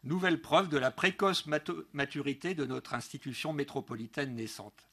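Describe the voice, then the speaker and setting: Husky middle-aged man giving a council speech. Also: on the high side, normal speed, a bit bright. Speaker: local elected councillor. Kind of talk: prepared political speech at a council meeting. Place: large council meeting room, indoors. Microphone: gooseneck desk microphone.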